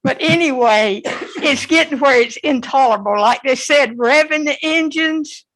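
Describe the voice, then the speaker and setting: A woman's voice: high-pitched, measured pace, fairly bright tone, poor audio. A woman from north Florida giving public comment at a city meeting, wry and fed-up. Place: city commission chamber.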